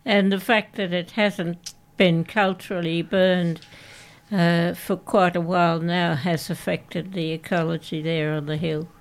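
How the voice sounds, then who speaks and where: A woman's voice: on the low side, flat delivery. An Australian woman from Canberra, co-hosting a community radio show and talking unhurriedly about a book in the studio. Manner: unhurried, reads aloud from a book. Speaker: radio co-host. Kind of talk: casual radio chat.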